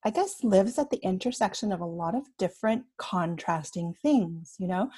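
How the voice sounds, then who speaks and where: A woman's voice: mid-range voice, lively intonation, normal speed, dark tone, slightly rough. A woman in her fifties from Canada, a coach speaking as a podcast guest, reflective and laughing often. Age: 50s.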